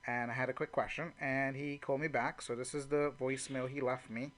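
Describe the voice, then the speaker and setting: A man's voice: mid-range voice, steady tone, fairly quick, a bit bright. A man working as a network marketing trainer, talking informally and off the cuff as he walks through call examples, often saying "you know". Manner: conversational, unscripted, frequent "you know".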